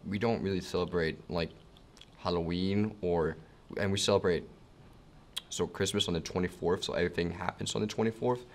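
Low voiced teen guy from denmark, pauses a lot, faint hiss. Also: fairly even intonation. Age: teens. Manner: hesitant, searching for words. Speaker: exchange student. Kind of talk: casual school TV interview.

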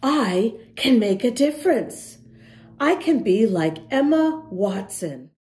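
A woman hosting a children's storytime, with a very soft-edged, mellow voice that swings widely up and down. She takes her time, phrasing each line deliberately and addressing little listeners in an encouraging way. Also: on the high side, sing-song. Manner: encouraging, addresses young listeners directly with questions. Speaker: children's storytime reader and host. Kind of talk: expressive picture-book read-aloud to young children.

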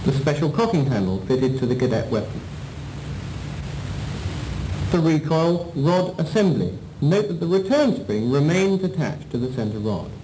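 A man's voice: a little high, sing-song, moderate pace, few pauses, mellow, reverberant, slight background noise, rough recording. A man giving a formal, methodical step-by-step instructional demonstration, on an old videotape copy. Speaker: weapons instructor.

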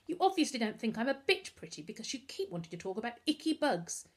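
A woman voicing a dramatic, excitable young girl in a children's storybook, with theatrical, indignant exclamations. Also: a little high, fast, voice pushed up. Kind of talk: acted dialogue in a children's storybook.

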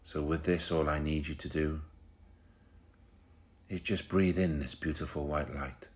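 A man leading a guided meditation in a soothing, unhurried way, pausing between short phrases. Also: a bass voice, steady tone.

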